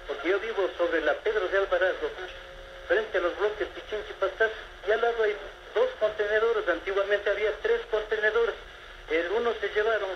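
Older caller in his seventies, slightly high voice, rambling and formal, on a muffled line. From Ecuador. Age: 70s. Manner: rambling, formal and polite, with many restarts. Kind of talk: phone-in complaint to a radio show. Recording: echoey, muffled phone-in with background noise.

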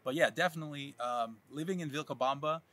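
Bright easygoing man, French native. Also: fairly high pitch, fast talker. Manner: easygoing, encouraging interviewer. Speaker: co-host, real estate agent. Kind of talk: casual chat. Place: outdoors on a hillside terrace.

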